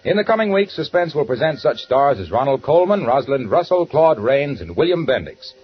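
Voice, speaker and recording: A man's voice: medium pitch, sing-song, fairly quick, slightly rough. A man announcing and reading ad copy in an upbeat, salesy 1940s radio style, on a dull old recording with music.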